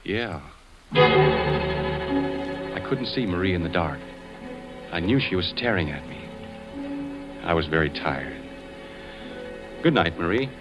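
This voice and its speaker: A man's voice: low voice, animated, slow pace, pauses often, full character voice. A man playing a weary, uneasy husband and fire investigator in a 1940s radio drama. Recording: dull old radio recording with music.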